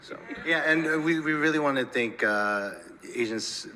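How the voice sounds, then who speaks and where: A man from Minnesota answers festival interview questions haltingly, repeating words and often adding "you know". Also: a little high, pushed and bright. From United States (Minnesota). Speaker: filmmaker and actor. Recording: echoey hall, faint ambient noise.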